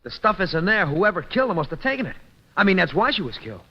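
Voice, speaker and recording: An actor playing a fussy, nervous circus press agent, agitated and protesting in an old-time radio drama. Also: male voice, high voice, big pitch swings, quick pace. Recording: dull lo-fi old radio recording.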